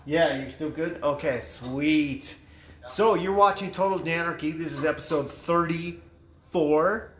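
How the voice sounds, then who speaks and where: A man's voice: fairly high pitch, measured pace, a bit bright. A man hosting a casual livestream podcast, chatty and loose, swearing casually. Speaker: host. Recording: echoey room with faint noise.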